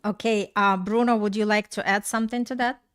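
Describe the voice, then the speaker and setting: A woman hosting a relationship talk show, speaking in a reflective, steady way and slowing down to stress her points. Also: medium pitch, clean tone. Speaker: podcast host.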